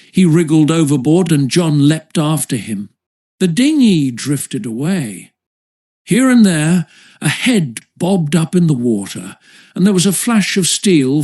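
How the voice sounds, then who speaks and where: A man's voice: on the high side, darkish tone. Man narrating a classic children's adventure. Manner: storytelling, literary read-aloud delivery. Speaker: audiobook narrator.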